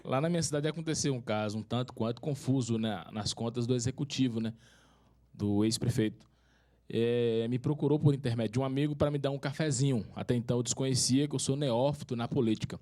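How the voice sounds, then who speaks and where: A man's voice: medium pitch, a little fast, dark tone. A man from northern Minas Gerais, a city councillor asking a long, formal question from the audience with many hesitant fillers. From northern Minas Gerais, Brazil. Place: conference hall.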